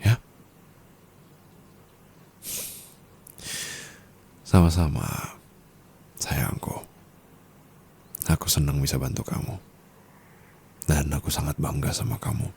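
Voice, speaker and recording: A man playing a dominant, teasing yet caring sugar-daddy husband in an intimate ASMR roleplay, murmuring and slipping into whispers. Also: slight background noise. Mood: tender, proud. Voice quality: very deep, gravelly, husky. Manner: slow, teasing, soft murmurs dropping into whispers.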